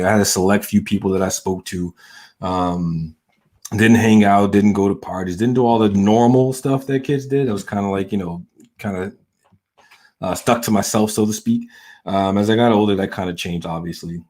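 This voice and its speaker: Deep-voiced man rambling with many fillers. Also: darkish tone. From Southern United States. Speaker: channel host. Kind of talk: casual personal monologue.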